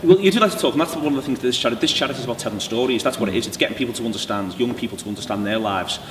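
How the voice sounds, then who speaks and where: A man's voice: medium pitch, very fast. A man hosting an interview, eager and rambling in his questions with fillers. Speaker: podcast host. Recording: distant mic in an echoey noisy room.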